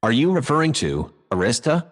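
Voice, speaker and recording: A synthetic male voice playing a calm, helpful AI house assistant in a sci-fi audio drama, courteous and formal. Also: mid-range voice, brisk. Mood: calm, concerned. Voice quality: synthetic text-to-speech sound.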